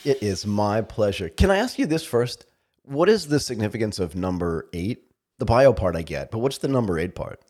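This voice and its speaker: A man's voice: on the low side, big pitch swings, moderate pace, a little mellow. A man hosting a podcast, asking curious, enthusiastic questions. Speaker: podcast host. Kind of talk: podcast interview.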